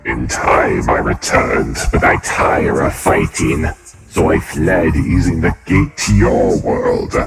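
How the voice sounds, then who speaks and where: Gravelly slow void creature. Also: male voice, a bass voice, voice pushed down, full character voice. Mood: weary. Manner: slow, gravelly, desperate pleading. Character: weary reborn male void creature, pleading. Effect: voice changer. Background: loud game music.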